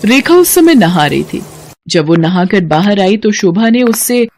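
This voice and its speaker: A woman's voice: mid-range voice, animated, measured pace, darkish tone. A woman reading a scripted, dramatic story as its narrator.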